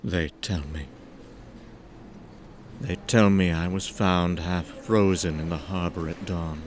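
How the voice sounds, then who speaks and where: A man performing a haunted, horror-struck narrator of eldritch horrors in a theatrical, deliberate gothic style. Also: low voice, steady tone, slight background noise. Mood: bleak, haunted. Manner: theatrical, deliberate, swinging from hushed whispers to anguished cries.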